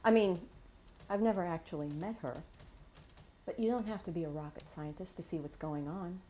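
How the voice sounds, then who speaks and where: A woman's voice: lowish voice, normal speed, warm tone, a little hiss or hum, natural voice. A woman playing an opinionated, suspicious gossip, speculating in a wry, insinuating way. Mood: gossipy, suspicious.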